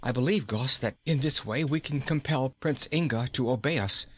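A man's voice: mid-range voice, normal speed, fairly bright tone, slightly rough, no room sound. A man voicing a frightened, scheming wicked queen in a storybook, urgent and commanding. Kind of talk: storybook character reading.

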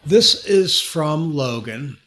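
A man's voice: fairly high pitch, lively intonation, slow pace, sharp tone. Casual man explaining on a podcast. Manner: explanatory, conversational, with restarts. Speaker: podcast co-host answering listener questions.

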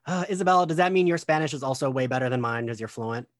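A woman's voice: extremely low pitch, fast talker, no room sound, studio quality. A woman chatting casually on a podcast, telling stories and laughing often as she talks. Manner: laughs often, self-deprecating storytelling. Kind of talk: casual chat.

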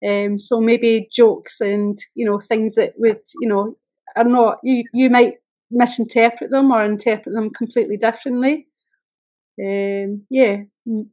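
Higher-pitched Scottish woman, casual. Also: steady tone, a little slow, darkish tone. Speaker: special guest. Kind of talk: casual storytelling.

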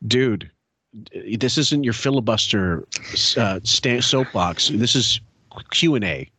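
A man's voice: mid-range voice, animated, slow, bright, forceful tone, husky, no room sound, rough recording. A man hosting a podcast in casual chat, rambling and swearing, often stopping to restart his sentences. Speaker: podcast host.

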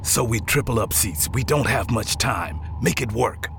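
Gruff, gravelly military captain. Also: male voice, mid-range voice, expressive, normal speed, a bit bright. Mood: tense, curt. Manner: curt, commanding. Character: gruff, tense, no-nonsense male dropship captain. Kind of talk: sci-fi audio drama acting. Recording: vehicle engine noise behind.

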